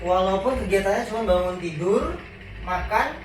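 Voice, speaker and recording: Give me a male host with a high voice asking short questions, picked up from far away with noise in the background. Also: moderate pace. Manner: short prompting questions and backchannels. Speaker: vlog host. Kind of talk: casual chat. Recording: echoey room, far from the mic, noisy.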